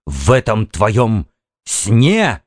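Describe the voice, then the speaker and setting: Guy doing a domineering jealous husband for an audiobook, stern n demanding, pitch moves a lot. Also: sing-song, measured pace, dry recording. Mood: angry, interrogating. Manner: irritable, demanding, interrogating.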